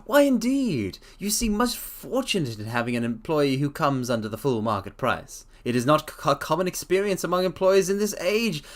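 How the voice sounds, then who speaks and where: A man's voice: on the high side, expressive, moderate pace, few pauses, close to an everyday voice. A man playing a dry, ironic detective. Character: sharp, dry-witted male detective.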